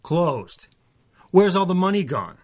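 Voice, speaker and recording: A thirties small-time crook, the irritable sidekick in a radio play. He talks in blunt slang with a fairly high, animated voice. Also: slow pace, heavily acted. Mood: alarmed, angry. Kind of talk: 1930s radio drama acting.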